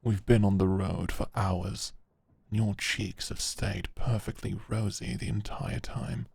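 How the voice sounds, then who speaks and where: A man playing a stern, shrewd knight in a medieval audio drama, calm, measured and knowing. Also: on the low side, vocal fry, husky, full character voice. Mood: shrewd, appraising. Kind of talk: dramatic audio roleplay acting.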